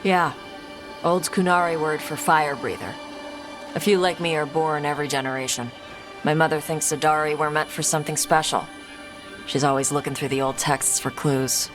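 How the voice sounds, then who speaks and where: A woman's voice: low-pitched, steady tone, normal speed, over music, lightly acted. A woman playing a blunt, prickly young horned warrior who breathes fire, informal video game dialogue. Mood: matter-of-fact.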